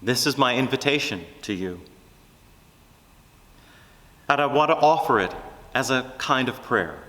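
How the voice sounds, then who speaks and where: A man preaching a reflective, prayerful sermon, speaking earnestly in measured phrases with deliberate pauses. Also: medium pitch, lively intonation, bright, forceful tone, big-room sound.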